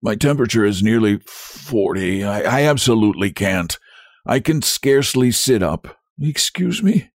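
A weary, sick country doctor from an old tale as a man narrator acts him. He mutters and grumbles with reluctant hesitation, his pitch moving freely, recorded very dry. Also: lively intonation, moderate pace, no echo. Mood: weary, protesting. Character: exhausted, feverish, reluctant male country doctor.

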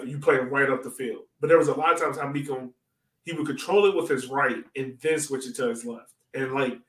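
A man co-hosting a sports podcast, chatting casually about soccer and telling stories in informal slang. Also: medium pitch. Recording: roomy echo, distant from mic.

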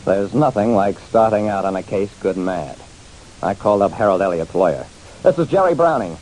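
Animated hard-boiled radio detective played by a man. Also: fairly low pitch, brisk, natural voice, poor audio. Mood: determined. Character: hard-boiled male private detective narrating his casebook. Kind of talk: 1940s-50s radio detective drama narration. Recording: hissy old radio recording.

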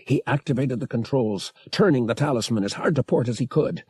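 A man's voice: mid-range voice, brisk, a little mellow, breathy edge, no room sound, clean studio sound. A man reading an adventure novel as an audiobook narrator, in a dramatic scripted style.

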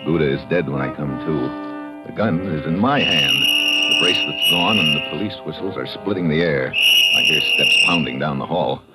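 A man's voice: a bass voice, moderate pace, rough recording. A man with an American accent playing a tough, wry agent, terse and slangy, narrating an old radio crime drama. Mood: tense narration. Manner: hard-boiled, terse, slangy. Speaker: lead actor and narrator. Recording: band-limited old radio recording, dramatic music.

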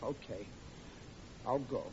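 A man's voice: mid-range voice, slow pace, natural voice. An actor playing a bumbling, protesting middle-aged family man in old radio comedy. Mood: sheepish, pleading. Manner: blustering, hapless, protesting. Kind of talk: 1940s radio comedy acting. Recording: muffled old radio recording with clear noise.

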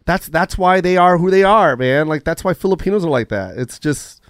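A man in his forties chatting on a podcast, animated and profane, laughing often. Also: high-pitched, brisk, clean tone, dry recording, clean studio sound. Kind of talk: casual podcast chat.

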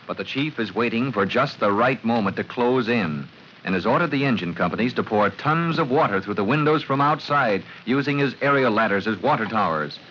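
Male 1940s radio announcer, sharp dramatic narration, slightly noisy vintage sound. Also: medium pitch, animated, fairly quick. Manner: dramatic, declamatory. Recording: muffled old radio recording, faint noise.

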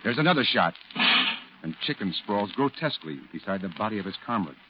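Grave war correspondent, muffled old radio. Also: male voice, on the low side, big pitch swings, fairly quick, a little hiss or hum. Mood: grave, solemn. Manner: dramatic, grave, declamatory. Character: solemn war correspondent narrating a battle diary, male. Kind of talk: 1940s radio drama narration. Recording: dull old radio recording with music.

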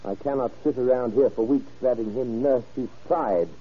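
An actor playing a shrewd, impatient male drug buyer in an old radio crime drama, speaking in formal, deliberate, clipped phrases. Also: medium pitch, slightly rough, close to an everyday voice. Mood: firm, businesslike. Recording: muffled old-time radio recording.

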